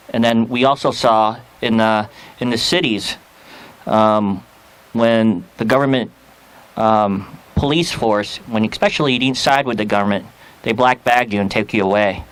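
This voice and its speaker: A man from the Philippines, an army veteran, giving a personal speech at a rally in a halting way with many pauses and fillers. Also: medium pitch, smooth, rough recording.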